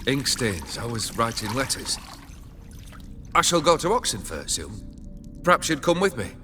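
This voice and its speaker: A man's voice: mid-range voice, sing-song, measured pace, over music. A man acting a brooding nobleman husband in a video game, gallant yet secretive. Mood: weary, evasive.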